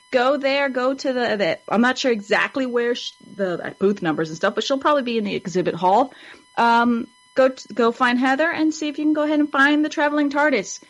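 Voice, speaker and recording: A woman co-hosting a fan web show in casual chat, with a rambling, informal, upbeat manner. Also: sits high.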